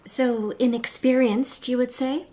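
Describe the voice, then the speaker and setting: An actress playing a sharp-witted young society lady detective in a period radio drama, composed, formal and probing. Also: a little high, steady tone, unhurried. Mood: cool, assured. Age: young adult.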